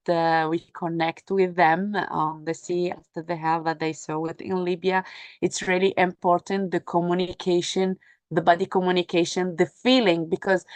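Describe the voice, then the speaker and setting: A woman whose native language is Arabic, speaking unscripted as a panelist, haltingly searching for words and repeating phrases. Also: lowish voice, no echo. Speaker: panel speaker, humanitarian sea rescue worker. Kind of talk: unscripted panel talk about work experience.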